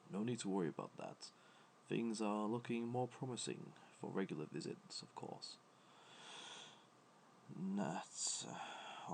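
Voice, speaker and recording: Man voicing a deep, creaky, soft-spoken gentle god. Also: slight background noise, exaggerated voice. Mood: tender, reassuring. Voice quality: low, soft, creaky, breathy at times. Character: gentle, ancient male god, fond, comforting, modest. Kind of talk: soft-spoken ASMR comfort roleplay.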